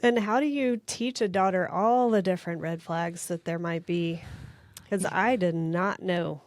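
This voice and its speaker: Slightly low-voiced woman chatting casually. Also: unhurried, no echo. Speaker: podcast co-host. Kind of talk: casual chat.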